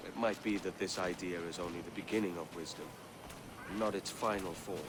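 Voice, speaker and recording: A man's voice: sits low, fairly even intonation, fairly quick, background noise, close to an everyday voice. An actor playing a weary, remorseful pirate captain in his thirties with a British accent, earnest and plain-spoken, in a video game cutscene. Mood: reflective. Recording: background music under the dialogue.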